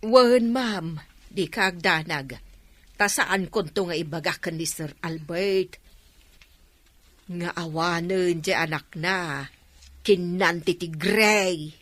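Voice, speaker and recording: Slow-talking deferential older nanny. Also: female voice, lowish voice, fairly bright tone, no room sound. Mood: obedient. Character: deferential older household nanny, conspiring with her mistress. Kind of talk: Ilocano radio drama acting.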